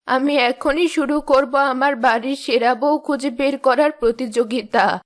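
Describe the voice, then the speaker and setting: High-voiced bossy mother-in-law. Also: steady tone, measured pace. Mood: firm. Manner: bossy, declarative, firm. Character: commanding mother-in-law running a household contest. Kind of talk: animated fairy-tale cartoon voice acting.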